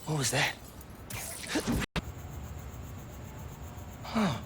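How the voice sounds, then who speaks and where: An earnest, friendly teenage boy from a superhero game played by a young man. His delivery is casual and very lively in pitch, with loud ambient noise around him. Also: normal speed, natural voice. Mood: puzzled, weary. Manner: casual, boyish, animated. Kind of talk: naturalistic video game cutscene acting.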